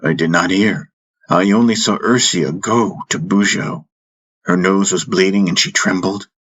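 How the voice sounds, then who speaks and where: A man's voice: low voice, fairly even intonation, a little slow, a bit bright, poor audio. A man narrating a fantasy audiobook in the first person, with intense, solemn, dramatic storytelling. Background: music bed.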